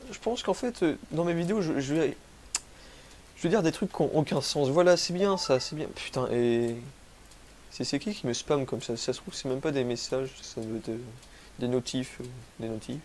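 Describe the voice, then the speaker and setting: A man's voice: mid-range voice, normal speed, a little mellow, a little hiss or hum. A young man from France with a cold, rambling through gameplay commentary, self-mocking and using crude slang. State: has a cold, sniffly. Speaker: gaming youtuber. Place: home, rural.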